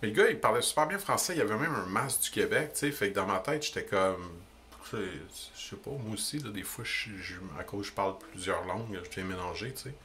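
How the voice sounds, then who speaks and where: A young man with a Québécois French accent, ranting indignantly into the camera about politics in quick, emphatic bursts. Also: fairly low pitch, animated, a bit bright, a little hiss or hum. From Quebec. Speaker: vlogger. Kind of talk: heated political rant.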